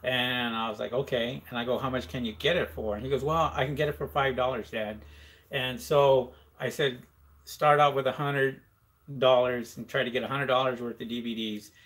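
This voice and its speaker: A man with a voice of ordinary pitch hosts a live stream from home. He talks loosely and at length, with plenty of fillers and restarts, and stops to read viewers' comments out loud. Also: fairly even intonation, normal speed, poor audio. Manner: rambling, reads viewer comments aloud, frequent fillers. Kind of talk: casual live-stream chat.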